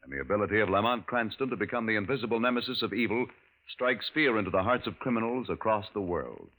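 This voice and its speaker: A man's voice: lowish voice, expressive, fast, a bit bright, low-quality recording. An American man announcing formally and dramatically in the scripted style of 1940s radio. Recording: vintage radio recording with music.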